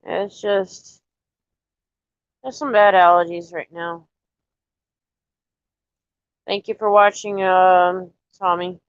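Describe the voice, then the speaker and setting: A woman chatting casually to viewers on a live stream, a little nasal and stuffy from allergies, rambling in a relaxed way. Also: lowish voice, takes it slowly, pauses often, dry recording, dull audio. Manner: rambling, relaxed, talks straight to chat.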